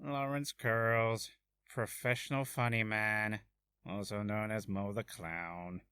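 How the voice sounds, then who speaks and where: A man's voice: on the low side, steady tone, takes it slowly, bright, forceful tone, clear, resonant tone, no echo, pitched below the performer's own voice. A man playing an awkward, theatrical clown comedian in a video game. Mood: subdued introduction. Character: nervous joke-telling male clown comedian. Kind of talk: video game character acting.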